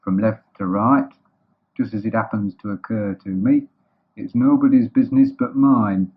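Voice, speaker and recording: A man voicing a gloomy, dignified storybook donkey in a deadpan, sardonic, ponderous manner. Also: on the low side, normal speed, husky. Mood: dry, aloof. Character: gloomy, sarcastic, dignified male donkey, coldly resigned. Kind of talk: children's storybook character voice. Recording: thin phone-like, muddy old recording.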